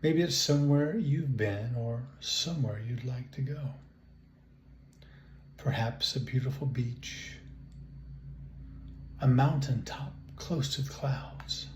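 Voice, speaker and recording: Slow-spoken man guiding meditation, roomy echo. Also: mid-range voice. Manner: calm, soothing, measured with long pauses. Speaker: guided imagery and relaxation instructor. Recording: roomy echo, faint background noise.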